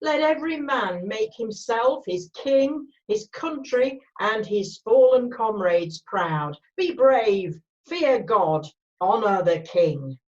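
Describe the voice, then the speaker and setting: A woman reading the part of a resolute, formal male cavalry officer in an amateur screenplay read-through, with measured military phrasing. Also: a little high, animated, highs cut off. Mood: solemn, rousing.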